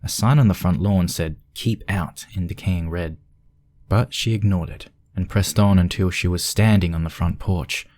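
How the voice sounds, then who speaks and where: A man's voice: sits low, moderate pace, darkish tone, no room sound, studio quality. A man reading scripted narration for a spooky fan fiction story.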